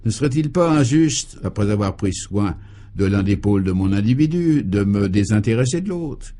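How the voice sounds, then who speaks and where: A man's voice: fairly low pitch, expressive, moderate pace, warm tone, natural voice. A man voicing a proud, dreamy old professor in a French comic radio serial, speaking with ceremonious, solemnly absurd courtesy.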